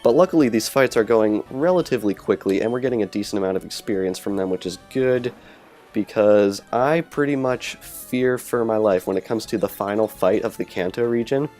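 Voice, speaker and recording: A man with a fairly low voice gives loose, rambling commentary over a video game, often trailing off and rephrasing himself. He records at home on a cheap earbud headset mic. Game music plays faintly underneath. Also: a little fast, darkish tone. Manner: rambling, self-correcting, chatty. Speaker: let's play commentator. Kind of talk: casual gameplay commentary. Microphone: cheap earbud headset microphone.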